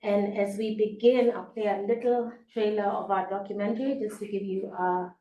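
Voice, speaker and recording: A woman's voice: mid-range voice, fairly even intonation, moderate pace, lo-fi sound. A woman from Kenya introducing herself and her work as a guest speaker in a presentation to an audience. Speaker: guest speaker, legal and human rights advisor. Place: indoor event space. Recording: echoey room with faint noise.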